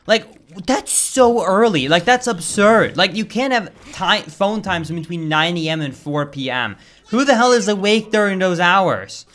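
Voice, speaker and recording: A young man with a Swedish accent, chatting casually and reacting loudly while he streams a game. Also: high-pitched, lively intonation, measured pace, few pauses. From Sweden. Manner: casual, chatty, swears and flares up over game lag. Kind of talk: live-stream gameplay commentary.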